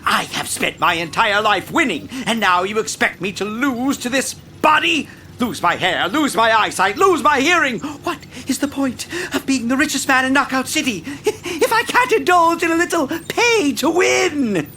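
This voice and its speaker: Casting: high-pitched, swooping hologram of a greedy, volatile millionaire, theatrical audio drama delivery. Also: animated, sharp tone, a little hiss or hum. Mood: indignant, ranting. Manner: theatrical, exclaiming, drawn-out words. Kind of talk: scripted audio drama acting.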